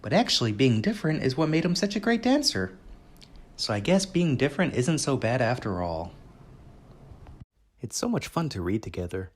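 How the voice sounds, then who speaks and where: Kids storytime host, gentle n expressive, very animated pitch, clear careful words for little ones. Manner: gentle, expressive, deliberate enunciation for young children. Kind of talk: children's picture book read-aloud.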